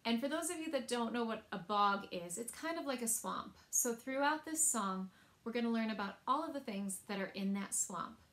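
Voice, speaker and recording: A woman's voice: fairly high pitch, expressive, moderate pace, fairly bright tone. Woman, thirties, children's story time presenter. Simple, explanatory delivery, recorded at home about a metre from the mic. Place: small indoor room at home.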